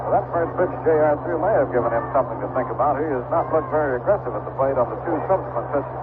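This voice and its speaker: A man calling baseball play-by-play on live radio in a measured, descriptive way with conversational asides. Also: a little high, narrow, tinny audio. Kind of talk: live radio sports commentary. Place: outdoor ballpark broadcast. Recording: noisy vintage 1970s AM radio broadcast.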